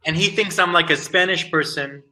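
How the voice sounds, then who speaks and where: A man's voice: a little high, brisk, sharp tone. A young man, an English tutor, chatting casually on a video call, telling stories animatedly and mimicking locals.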